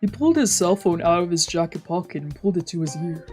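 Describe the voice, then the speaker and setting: Mid-pitched visual novel reader over music. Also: animated, quick pace, darkish tone. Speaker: streamer reading a visual novel aloud. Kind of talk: scripted narration. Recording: music behind.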